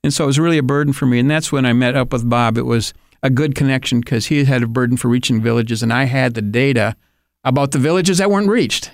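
A man's voice: medium pitch, fast talker, dry recording, clean studio sound. A man who grew up in Thailand, a guest telling his story in plain, earnest talk about church research.

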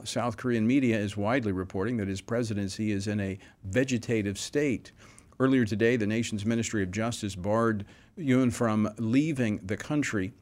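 A man hosting a broadcast interview, asking earnest, measured questions with small restarts. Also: on the low side. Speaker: radio talk show host.

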